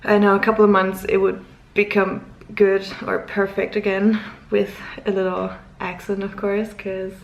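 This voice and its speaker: A woman's voice: medium pitch, level tone, moderate pace, vocal fry, dull audio. Chatty woman vlogger, echoey room. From Luxembourg. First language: German. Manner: chatty, giggly, rambling. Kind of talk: casual chat to camera.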